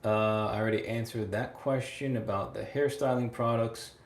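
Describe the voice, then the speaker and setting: A man's voice: lowish voice, fairly even intonation, moderate pace, roomy sound. A man in his late twenties hosting a casual livestream, answering viewer comments, laughing often and tagging his sentences with "right?". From United States. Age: 20s. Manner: laughs often, chatty, repeats phrases, tag "right?". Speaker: livestream host.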